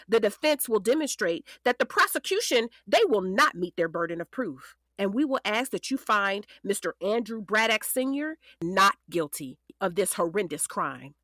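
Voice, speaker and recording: A woman playing a poised, determined defense attorney in a scripted courtroom drama, speaking formally and deliberately with emphasis. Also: mid-range voice, a bit bright, no echo.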